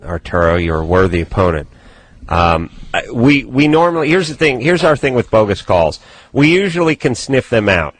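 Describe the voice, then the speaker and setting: A man's voice: mid-range voice, sing-song, moderate pace. A man hosting a late-night call-in radio show, brash and irreverent, cracking crude jokes. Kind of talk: crude joking call-in banter.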